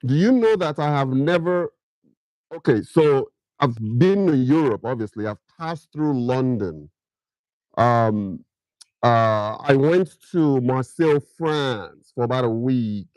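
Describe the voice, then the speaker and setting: A man's voice: medium pitch, lively intonation, slow, darkish tone, no room sound, poor audio. A middle-aged man from Nigeria with a Nigerian accent, hosting a casual livestream where he keeps firing questions at viewers and slips into pidgin. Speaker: livestream host.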